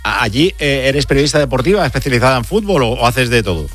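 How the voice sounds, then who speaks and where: A man's voice: medium pitch, very animated, quick pace, fairly bright tone, soft background music. A man hosting a sports radio show, chatting casually and joking, with many fillers.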